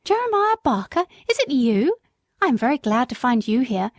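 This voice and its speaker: A woman voicing a genteel, gracious lady in a storybook reading, with a bright and refined manner. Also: sits high, very animated, normal speed, dry recording, clean studio sound, exaggerated voice.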